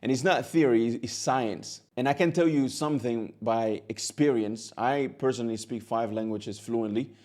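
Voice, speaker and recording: A man's voice: medium pitch, normal speed, hardly any pauses, darkish tone. A man whose first language is Catalan, an Arabic teacher giving an earnest, direct talk to camera, now and then repeating a word as he goes.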